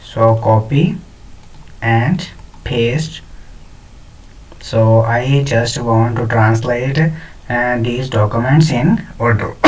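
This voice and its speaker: A man's voice: mid-range voice, very slow, long pauses, distant mic, rough recording. A man hosting a screen tutorial, talking hesitantly with many fillers and restarts. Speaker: tutorial host. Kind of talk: screen-recorded software tutorial.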